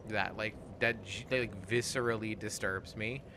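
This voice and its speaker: Low-voiced man over noticeable background noise. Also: steady tone. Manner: halting, frequent swearing. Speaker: host and player of a gaming show. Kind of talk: casual let's play commentary.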